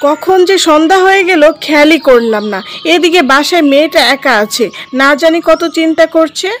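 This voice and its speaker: A woman's voice: high voice, brisk, slight background noise, close to an everyday voice. A woman voicing a humble, anxious woodcutter father in a cartoon folk tale, fretful and pleading. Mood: worried.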